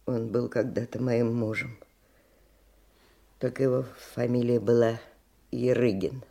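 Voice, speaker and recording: Creaky, embittered older woman survivor. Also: a bass voice, fairly even intonation, measured pace, warm tone, full character voice. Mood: bitter, grave. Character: embittered older woman, war survivor denouncing her former husband. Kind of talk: Russian radio drama acting.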